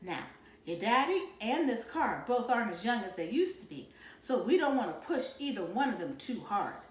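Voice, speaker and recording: A woman's voice: a little high, sing-song, normal speed, roomy sound. A woman voicing a patient, reassuring mother talking to her children in a storybook. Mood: organizing.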